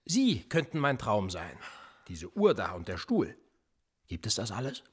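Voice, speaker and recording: An actor playing a menacing police interrogator in a radio play, needling and mocking with a husky voice. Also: male voice, on the low side, huge pitch swings, unhurried, heavily acted. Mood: insinuating. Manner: needling, insinuating questions. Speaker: police officer.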